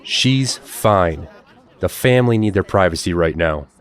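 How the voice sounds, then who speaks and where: A man playing a large, gruff, protective uncle standing guard in an audio drama. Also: sits low, lively intonation, unhurried. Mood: gruff, relenting. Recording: crowd noise behind the voice.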